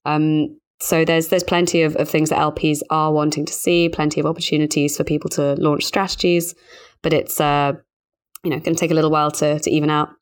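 A woman hosting a podcast, discussing financial news in a measured, analytical and conversational way. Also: low-pitched, level tone, clean tone. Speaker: podcast host and financial journalist.

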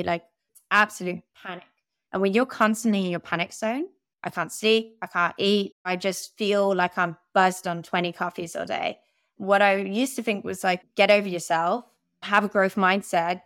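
A young woman from the Netherlands, a podcast guest speaking candidly and laughing at herself in a relaxed interview. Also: medium pitch, no echo, clean studio sound. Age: young adult. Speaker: podcast guest, growth advisor. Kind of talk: conversational interview.

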